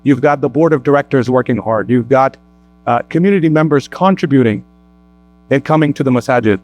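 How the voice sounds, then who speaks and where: A man hosts a community talk show at a mosque, and his voice sits at an ordinary middle pitch. He rambles gently with fillers as he introduces his guest. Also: fairly quick, low-quality recording. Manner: easygoing, warm introductions with fillers. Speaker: host.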